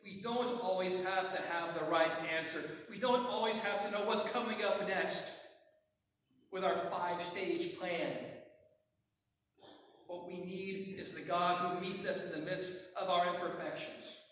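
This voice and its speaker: A male pastor preaching a sermon in a church, conversational and wry, getting excited as he preaches. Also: high-pitched, unhurried, slight background noise. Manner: gets excited and hard to follow when preaching. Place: church sanctuary. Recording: echoey hall, voice from far off.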